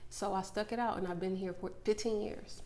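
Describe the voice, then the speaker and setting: A woman's voice: medium pitch, steady tone, fairly quick, dark tone, a little hiss or hum. A woman, speaking earnestly and reflectively as a coach and guest in a casual interview, laughing easily. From Mississippi. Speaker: podcast guest, motivational coach.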